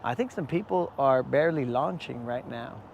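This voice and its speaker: A man hosting a live fishing stream from a boat, talking casually with viewers in a hoarse voice. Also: mid-range voice, animated, moderate pace, lo-fi sound, a little hiss or hum. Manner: casual, slangy, calls viewers bro. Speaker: fishing channel host. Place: outdoors on a boat on a lake.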